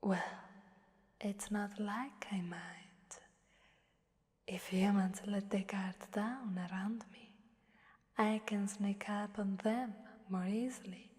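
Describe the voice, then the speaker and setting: Girl doing a creepy hungry demon lady for asmr, super slow breathy whisper, teasing, big echoing reverb. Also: medium pitch, steady tone, a little mellow, natural voice. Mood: sly, boastful. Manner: slow, teasing, menacing, drawn-out phrasing. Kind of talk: ASMR roleplay monologue. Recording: long hellish reverb.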